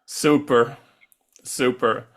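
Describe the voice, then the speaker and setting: A man hosting a casual, unscripted interview, speaking in a halting, effusive way. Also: mid-range voice, steady tone. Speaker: interview host.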